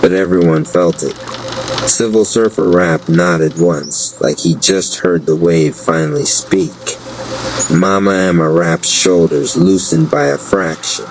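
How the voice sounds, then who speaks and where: A man narrating a scripted dark fantasy audio drama in a measured, dramatic spoken-word style. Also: low-pitched, expressive, a little hiss or hum, poor audio.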